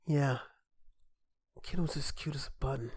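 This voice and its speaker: A man's voice: medium pitch, measured pace, darkish tone, slightly rough. A man voicing an aloof, wistful young older brother in a game fan dub, talking in a casual, reflective way.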